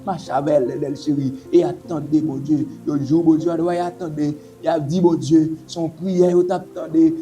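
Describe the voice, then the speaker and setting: A man's voice: fairly high pitch, flat delivery, moderate pace, hardly any pauses, mellow. A man leading a pleading, repetitive spoken prayer. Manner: repetitive, pleading, intoning invocations. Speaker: prayer leader.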